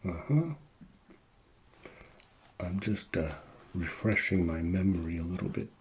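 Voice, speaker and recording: A man playing a shady, chatty small-time tax man in a soft-spoken ASMR roleplay, murmuring and rambling hesitantly. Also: low-pitched, expressive, unhurried, a little hiss or hum. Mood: chatty, unhurried.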